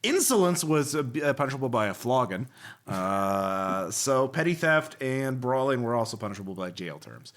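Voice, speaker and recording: A man hosts a casual podcast conversation in an average-pitched voice. He rambles from thought to thought. His delivery is informal and jokey. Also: very animated, moderate pace, fairly bright tone. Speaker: podcast host. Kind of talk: casual chat.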